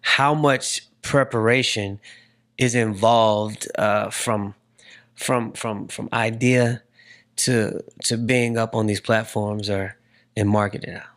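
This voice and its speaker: A man's voice: lowish voice, fairly even intonation, a bit bright. Man hosting a casual podcast interview. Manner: halting, repeats words, interjects. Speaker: podcast host.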